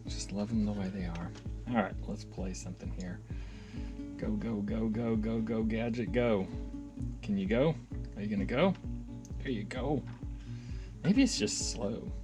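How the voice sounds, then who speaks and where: A man's voice: on the low side, unhurried, pauses often, music in the background. A man hosting a casual, unscripted gear demonstration, enthusiastic, rambling along with muttered asides and self-corrections. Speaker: hobbyist video host and reviewer.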